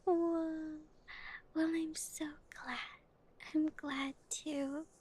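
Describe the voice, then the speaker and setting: A woman whispering gently and softly as an ASMR streamer, giggling now and then and playing a cute spooky bat persona. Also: high-pitched, little pitch movement, drawn-out words. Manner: calls herself a bitty bat, spooky cutesy persona. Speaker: ASMR streamer reading chat.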